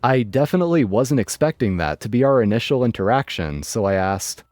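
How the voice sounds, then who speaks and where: A man narrating online stories in a lively, dry storytelling manner. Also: medium pitch, a little fast, no room sound, faint music behind.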